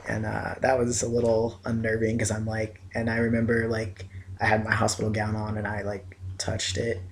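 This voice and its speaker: A man's voice: on the low side, completely flat delivery, moderate pace, vocal fry. A young man vlogging casually from bed, groggy and rambling, his voice scratchy after surgery. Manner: groggy, rambling, lots of fillers. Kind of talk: casual chat to camera. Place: in bed at home.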